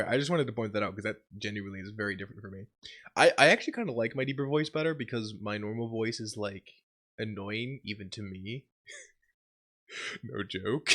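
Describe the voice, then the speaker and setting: A young man sick with a cold, his voice deeper than usual, telling a rambling vlog story with lots of swearing and laughter. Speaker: channel host. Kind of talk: casual vlog update.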